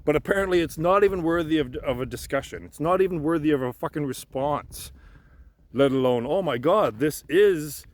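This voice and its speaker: A man in a halting, rambling unscripted monologue, frustrated and insistent, swearing and repeating himself. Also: on the high side. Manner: frustrated, insistent, profanity-laced; halting, repeats words and restarts. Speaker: amateur theorist making videos. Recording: noticeable background noise.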